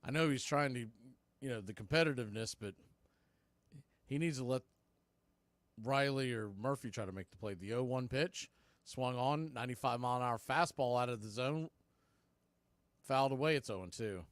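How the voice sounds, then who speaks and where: A man's voice: mid-range voice, moderate pace, long pauses, no echo. A man doing live radio baseball play-by-play, with punchy clipped calls and conversational asides.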